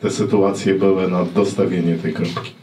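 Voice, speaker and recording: A reporter puts long, winding questions to a coach. His voice is very deep. It is picked up from a distance in a reverberant press room. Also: level tone, moderate pace. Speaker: journalist. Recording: echoey room, distant mic, faint noise.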